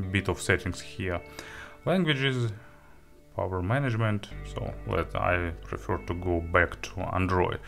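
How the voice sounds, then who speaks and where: A man giving a casual spoken product review as an audio gear reviewer, in a halting way with frequent filler words. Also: fairly low pitch, a bit bright.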